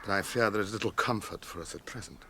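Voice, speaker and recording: An actor in a radio drama playing a grave, wary, weathered ranger guide who speaks in a measured, formal way. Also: male voice, fairly low pitch, noisy background, lightly acted.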